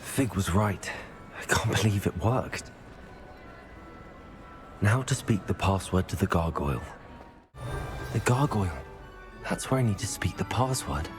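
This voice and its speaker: An actor playing an earnest, inquisitive teenage wizard student in a video game, thinking aloud. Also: male voice, low-pitched, lively intonation, a little slow, pauses often. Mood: curious. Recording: loud game music underneath.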